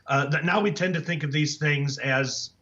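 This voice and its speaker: A man speaking as a guest author, hoarse and raspy after the flu, earnest and full of fillers and restarts. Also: fairly high pitch, normal speed, a bit bright. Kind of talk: casual interview talk. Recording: dull lo-fi audio.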